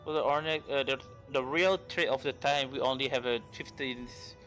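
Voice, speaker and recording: A man who speaks English as a second language, giving casual, halting, slangy commentary as he livestreams a game. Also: medium pitch, steady tone, a bit bright. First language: not English. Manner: rambling, informal, slangy, halting. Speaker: livestreamer, VTuber. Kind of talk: casual live gaming commentary. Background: game music.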